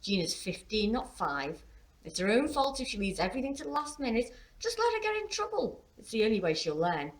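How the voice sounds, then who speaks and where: A woman's voice: deep voice, level tone, a little fast, hardly any pauses, natural voice. A woman voicing a stern mother in a novel reading, lecturing in an emphatic, scolding manner.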